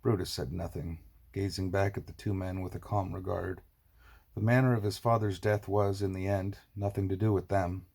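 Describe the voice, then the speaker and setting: A man reading a novel aloud as a scripted narration, in a husky, measured, dramatic storytelling voice. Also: low-pitched, little pitch movement, a little mellow. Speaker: audiobook reader.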